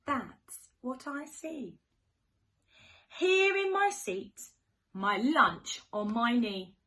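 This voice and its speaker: Very animated woman reading to young children. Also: fairly high pitch. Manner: animated, questioning, dramatic gasps and hushed near-whispers. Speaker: primary school teacher reading a picture book to children. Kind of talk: expressive children's story reading, sing-song rhyme with asides to young listeners.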